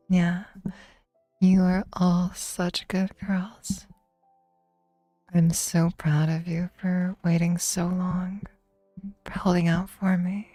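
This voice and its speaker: A woman streamer with a slightly hoarse voice, talking slowly and teasingly in a relaxed livestream chat. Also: on the low side, no echo. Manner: slow, teasing, drawn-out praise.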